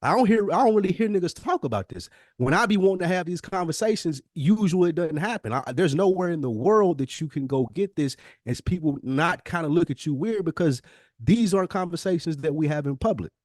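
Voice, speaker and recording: A man co-hosting a podcast, making a casual, conversational pitch in an emphatic, insistent way, often stacking repeated phrases. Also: animated, fairly quick, darkish tone, no echo, studio quality. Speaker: podcast co-host.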